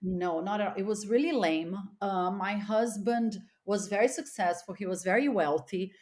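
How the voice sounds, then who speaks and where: A woman's voice: mid-range voice, normal speed, darkish tone. A middle-aged woman from Los Angeles chatting as a podcast guest, candid, informal and emphatic. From Los Angeles, United States. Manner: emphatic, informal with frequent "like" and "you know". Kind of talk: casual, candid chat.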